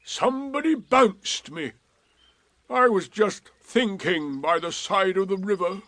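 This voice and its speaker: A man putting on a slow, glum old donkey. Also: high voice, a bit bright, voice pushed up. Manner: slow, sarcastic drawl. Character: gloomy old grey donkey, slow and sardonic. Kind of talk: storybook reading.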